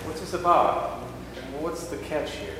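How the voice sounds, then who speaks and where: A man's voice: on the high side, unhurried, noisy background, natural voice. A man playing a careless, defensive husband in a church skit, talking in an offhand, grumbling way. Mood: wary. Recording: echoey hall from far off.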